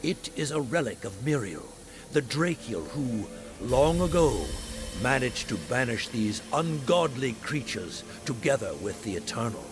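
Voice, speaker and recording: Solemn priest of dragon hunters, ceremonial, slow delivery, very varied pitch, video game drama. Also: male voice, very animated, over music. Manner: ceremonial, declamatory. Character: solemn high priest of a dragon-hunting order. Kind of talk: dramatic fantasy game acting.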